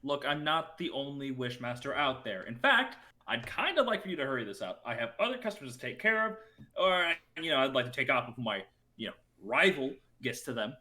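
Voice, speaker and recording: A man's voice: medium pitch, lively intonation, a little fast, pushed and bright. A man playing a brash, impatient wish-granting spirit with slangy salesman's patter in a comedic reading. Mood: impatient, pleading. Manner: slangy, exasperated dude-speak.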